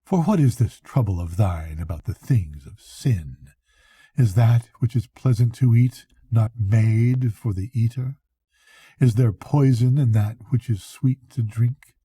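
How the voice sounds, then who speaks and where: A man's voice: mid-range voice, measured pace, mellow, husky, no room sound. An older man voicing a sly, evil, tempting soul in a storybook reading, with coaxing, archaic speech. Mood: coaxing, seductive.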